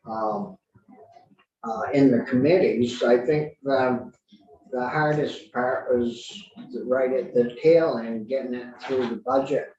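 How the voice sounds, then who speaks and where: A man's voice: medium pitch, fairly even intonation, slow pace, darkish tone. A man speaking hesitantly with many fillers, testifying before a committee. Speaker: advocate asking for public support. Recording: distant, echoey, muffled room audio.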